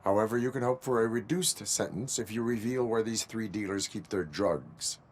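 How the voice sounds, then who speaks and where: A man playing a stoic cyborg police officer in a video game, speaking in a formal, measured, robotic deadpan. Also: lowish voice, lightly acted. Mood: stern, deadpan.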